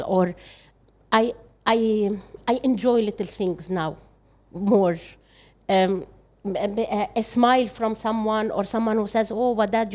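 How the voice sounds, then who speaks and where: A woman's voice: mid-range voice, darkish tone. Older woman, Arabic accent, earnest. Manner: earnest, halting with frequent restarts. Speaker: guest. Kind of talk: reflective interview conversation.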